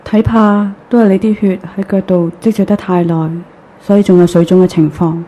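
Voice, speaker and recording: A woman acting a caring young woman with an unrequited love in an online radio drama, gentle and restrained, with a Hong Kong Cantonese accent. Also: medium pitch, steady tone, normal speed, lightly acted, poor audio. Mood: concerned, evasive.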